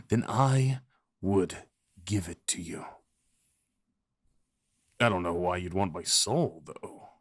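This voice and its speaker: Slow, tender, low-voiced fox husband played by a man. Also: no room sound, clean studio sound. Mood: tender, earnest. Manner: soft, tender rambling with breathy murmured endearments. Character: devoted, possessive fox spirit husband, doting and tender. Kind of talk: intimate ASMR roleplay.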